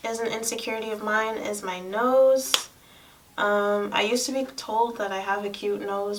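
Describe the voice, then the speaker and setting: Soft, girlish young woman vlogging. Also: medium pitch. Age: young adult. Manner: soft-spoken, candid. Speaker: lifestyle vlogger. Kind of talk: personal casual chat to camera. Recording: distant mic, faint room noise.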